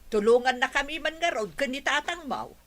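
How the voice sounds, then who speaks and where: A woman's voice: high voice, expressive, moderate pace, fairly bright tone. A woman playing a nosy, suspicious village mother in an Ilocano radio drama, with an Ilocano Filipino accent and emphatic, prying manner.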